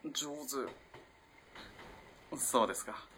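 An actor playing a polite, earnest young student in a radio drama, telling a story in a measured, musing way. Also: male voice, mid-range voice, bright, forceful tone, a little hiss or hum. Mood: courteous. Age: young adult.

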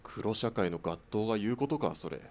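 A man's voice: fairly low pitch, animated, normal speed, darkish tone. A man acting in a radio drama as a stoic outlaw sniper who speaks in a terse, matter-of-fact way. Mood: dry.

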